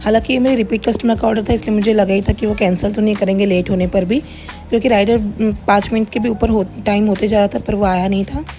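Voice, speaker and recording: A middle-aged woman narrating a casual home-kitchen vlog in a plain, everyday way, her voice slightly hoarse as if under the weather. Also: mid-range voice, steady tone, quick pace, warm tone. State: mildly unwell, voice a little hoarse. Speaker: home cook running a cloud kitchen, vlogger. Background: background music.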